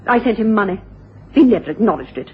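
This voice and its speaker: An actress playing a bitter, stubborn middle-aged sister in a radio drama, speaking with emphasis and indignation. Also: female voice, medium pitch, big pitch swings, fast, husky. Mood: dismissive, reluctant. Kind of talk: 1980s radio drama acting. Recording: dull old radio broadcast, faint noise.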